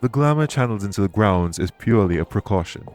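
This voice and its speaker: A man playing a courteous former king turned fae official in an audio roleplay, speaking formally and with measured reassurance. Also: lowish voice, lively intonation, darkish tone, soft background music, natural voice. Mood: reserved, matter-of-fact. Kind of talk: audio roleplay spoken to the listener.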